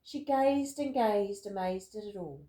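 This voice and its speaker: A woman reading a rhyming picture book aloud in an expressive, deliberate storytelling manner. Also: mid-range voice, roomy sound. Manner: dramatic, deliberate storytelling with emphatic words. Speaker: storybook reader.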